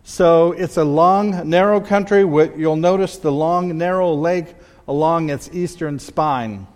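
A man's voice: fairly high pitch, a little mellow. Man presenting slides in a reverberant hall. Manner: conversational, with restarts and pauses. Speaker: missionary speaker. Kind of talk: slide presentation to a church audience.

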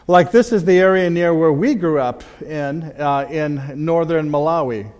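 A middle-aged man giving a conversational missionary slide talk to a church audience, pausing and restarting as he goes. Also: on the high side, a little mellow. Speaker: missionary speaker. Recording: long hall reverb.

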